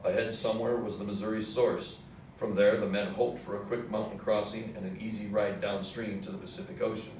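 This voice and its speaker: A low-voiced man delivers scripted historical narration as a play's narrator. He is recorded from a distance in a very echoey room with faint noise. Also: flat delivery, fairly quick, a little mellow. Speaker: narrator in a readers theatre play. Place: indoor theatre room with audience program.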